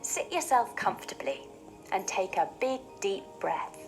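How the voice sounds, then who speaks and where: A woman hosting a scripted mindfulness session for children, speaking in a soothing, clearly phrased, gently expressive way. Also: medium pitch, unhurried, over music. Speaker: host of a children's mindfulness show.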